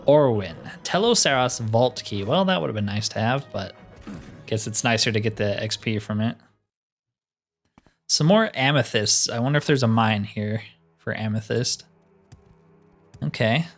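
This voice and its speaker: A man's voice: medium pitch, expressive, moderate pace, long pauses, faint music behind. A man giving casual let's-play commentary, chatting and thinking aloud as he plays a fantasy game. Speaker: gaming YouTuber playing a fantasy roleplay game.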